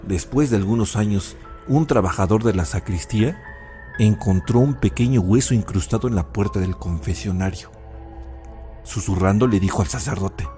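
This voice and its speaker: A man's voice: on the low side, moderate pace, warm tone, soft background music, close to an everyday voice. An actor playing an old grandfather who narrates an eerie legend with suspense in an audio drama. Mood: suspenseful.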